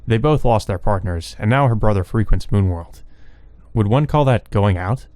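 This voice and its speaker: A woman's voice: very deep voice, lively intonation, moderate pace, warm tone, no room sound. A woman reading visual novel narration aloud with casual commentary of her own. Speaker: let's player.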